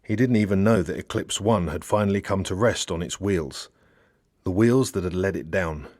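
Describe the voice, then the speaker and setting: A man reading a satirical novel aloud as a narrator, with dramatic, expressive storytelling. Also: low-pitched, normal speed, no room sound, studio quality. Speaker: audiobook narrator.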